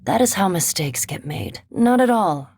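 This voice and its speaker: A woman voicing a centuries-old vampire scholar in a video game, speaking in an erudite, measured, formal way with composed curiosity and dry superiority. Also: mid-range voice, lively intonation, darkish tone, husky, no echo. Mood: scholarly, measured. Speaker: animancy researcher and ship companion in a fantasy video game. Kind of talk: video game character dialogue.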